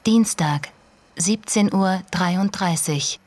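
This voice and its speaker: An actress voicing an impersonal auction status announcer in a radio drama, detached and deliberate, in clipped fragments. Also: female voice, on the low side, darkish tone, no room sound.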